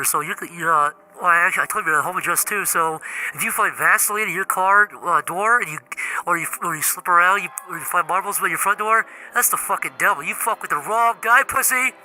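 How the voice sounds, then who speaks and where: Man playing a whiny, high-voiced, stammering Jesus. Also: fast talker, hardly any pauses, pushed and bright, rough recording. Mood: petulant, threatening. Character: needy, passive-aggressive, petty Jesus leaving voicemails. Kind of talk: improvised comedy voicemail skit.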